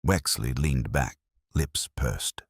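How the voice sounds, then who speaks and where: Very deep-voiced male story narrator. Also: slow pace, husky, no room sound, clean studio sound. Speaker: audiobook narrator. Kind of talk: scripted narration of a Victorian detective story.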